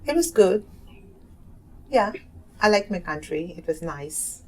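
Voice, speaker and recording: A woman's voice: lowish voice, big pitch swings, slow pace, a little hiss or hum. A woman in her thirties from South Asia, a native Hindi speaker, giving hesitant interview answers with many fillers and restarts. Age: 30s. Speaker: oral history narrator. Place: community center room.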